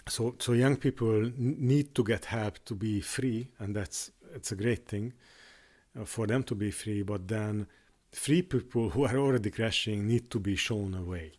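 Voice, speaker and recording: Halting low-voiced man, Hungarian native. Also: a little mellow. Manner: halting, many restarts and fillers. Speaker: YouTuber. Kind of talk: unscripted monologue on faith.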